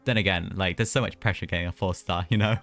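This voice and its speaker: Young man, casual live gameplay commentary, slangy, lower pitch, light game music underneath. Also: steady tone, fast, a bit bright. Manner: chatty, slangy, mild exclamations. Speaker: game streamer.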